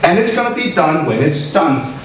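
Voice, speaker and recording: A man's voice: fairly high pitch, very animated, normal speed. A man from Copenhagen with a Danish accent giving an informal, irreverent conference keynote to an audience. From Copenhagen, Denmark. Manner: irreverent, joking, sweary. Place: echoey indoor conference room. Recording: echoey room from far off, faint noise.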